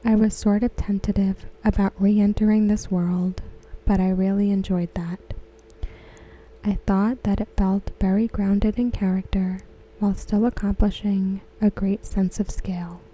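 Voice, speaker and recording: Very mellow, softly spoken ASMR woman. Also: medium pitch, little pitch movement, poor audio. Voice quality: soft, breathy, near-whispered. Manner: gentle, hushed, measured delivery. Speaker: ASMR host. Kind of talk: softly spoken ASMR read-aloud of forum comments.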